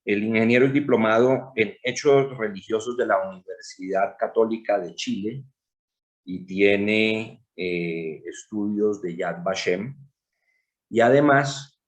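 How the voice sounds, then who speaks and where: A man with a Colombian Spanish accent hosting an online talk, formally introducing a guest over a video call. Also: on the low side. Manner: formal, announcer-like. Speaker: host.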